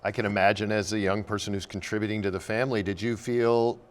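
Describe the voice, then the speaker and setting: Low-voiced male interview host. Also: flat delivery, quick pace. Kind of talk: conversational television interview.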